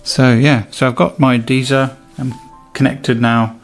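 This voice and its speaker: Gravelly-voiced man giving a casual product review. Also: mid-range voice, fairly even intonation, measured pace, faint music behind. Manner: informal, hands-on, thinking aloud while demonstrating. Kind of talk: casual unboxing and review commentary.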